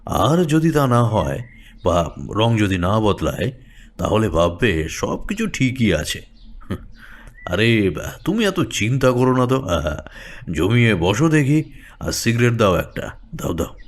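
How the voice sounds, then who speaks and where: An older tantric sage from a horror audio story. His voice is very deep. He speaks like a genial uncle, unhurried and reassuring, as one who knows the occult. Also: very animated, heavily acted. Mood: genial, welcoming. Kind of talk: Bengali audio story acting.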